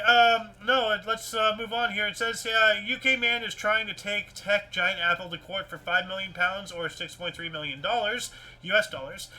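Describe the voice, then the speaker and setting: A man with a high-pitched, strikingly bright and forceful voice hosts a casual news stream. He talks in an animated, rambling way, circling back over his phrases as he comments. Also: poor audio. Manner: animated, repeats himself, rambling. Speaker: host of a livestream news show. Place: indoors at a computer with webcam.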